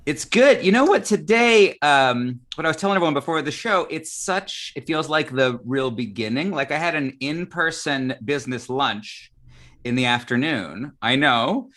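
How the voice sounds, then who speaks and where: A man's voice: a little high, expressive, moderate pace, fairly bright tone. A middle-aged American man hosting a live chat show in a casual, enthusiastic way. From Arizona, United States. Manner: enthusiastic, informal. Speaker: talk show host.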